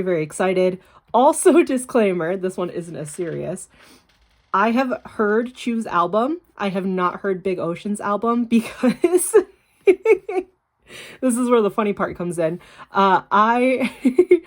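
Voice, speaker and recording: A young woman, hoarse from being sick, talking bluntly in a casual vlog, swearing freely and laughing often. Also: medium pitch. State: hoarse from illness. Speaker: YouTuber. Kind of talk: casual unboxing vlog with urgent appeal.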